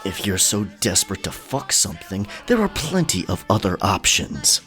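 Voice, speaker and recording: An actor playing a gruff, protective tough man in a historical audio drama, growling with contempt. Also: deep voice, normal speed, fairly bright tone, faint music behind. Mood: angry, defiant. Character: gruff, defiant, protective male pirate-era tough.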